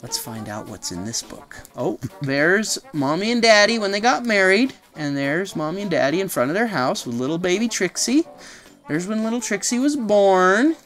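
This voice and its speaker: A woman's voice: medium pitch, sing-song, moderate pace, soft background music. A woman reading a children's picture book aloud, chattily explaining the pictures with casual asides. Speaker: read-aloud storyteller.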